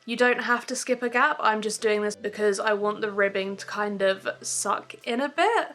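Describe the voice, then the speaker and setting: A woman's voice: mid-range voice, moderate pace, a bit bright, faint music behind. A young woman giving a casual, chatty step-by-step crochet tutorial at home, with frequent ums.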